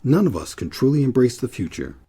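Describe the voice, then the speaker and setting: A man narrating a scripted history documentary as its host in a measured, solemn, deliberate manner. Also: mid-range voice, sing-song. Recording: narration over a music bed. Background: music.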